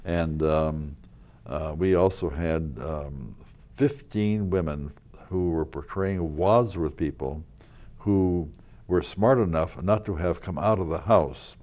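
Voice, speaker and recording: An older man, a local historical reenactor, telling rambling stories in a casual interview, with a loud voice and many fillers and restarts. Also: sits low, takes it slowly. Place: community TV studio.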